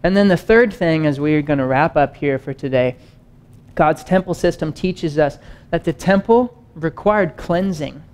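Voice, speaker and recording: A man's voice: on the high side, unhurried, smooth. A young man teaching a sermon on a stage, talking casually and pacing as he gestures. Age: young adult. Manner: animated, conversational, gestures widely while pacing. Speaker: preacher. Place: stage with wood-panel backdrop and screen.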